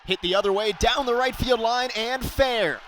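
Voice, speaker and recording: A young man calling a baseball game live as play-by-play announcer, describing each pitch and getting excited on big plays. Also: normal speed, slight background noise. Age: young adult.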